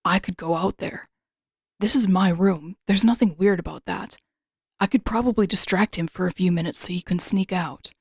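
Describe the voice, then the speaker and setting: Woman voicing a soft-spoken, hesitant teenage boy. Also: fairly low pitch, normal speed, husky, no room sound, clean studio sound. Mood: nervous, helpful. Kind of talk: fan fiction audiobook character voice.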